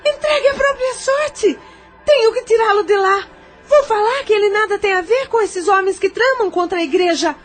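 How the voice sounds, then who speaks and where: A frantic, tearful wife. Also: female voice, very high-pitched, moderate pace, soft background music. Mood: distraught. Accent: Brazilian Portuguese. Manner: tearful, pleading. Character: frantic wife fearing for her husband.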